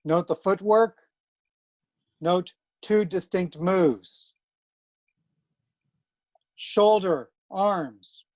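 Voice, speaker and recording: Very slow-paced woman calling drill commands. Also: lowish voice, darkish tone, no room sound, highs cut off. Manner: clipped commands with long pauses. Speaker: drill caller.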